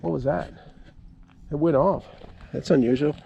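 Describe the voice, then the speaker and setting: A middle-aged man giving a casual, halting, folksy account as he vlogs outdoors at a park. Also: a little high, highs cut off. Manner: halting, folksy, stop-and-start storytelling. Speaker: amateur radio operator vlogging. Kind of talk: casual rambling outdoor vlog. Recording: clear ambient noise outdoors. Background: ambient outdoor noise.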